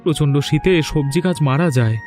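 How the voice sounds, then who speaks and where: A man's voice: on the high side, animated, brisk, over music, natural voice. An actor playing a weary, troubled village man in a fairy-tale cartoon, speaking in an earnest, lamenting way. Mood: worried.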